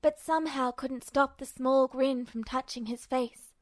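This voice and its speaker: A woman reading a story aloud as an amateur fiction narrator, in an expressive scripted style. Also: high-pitched, measured pace, no echo.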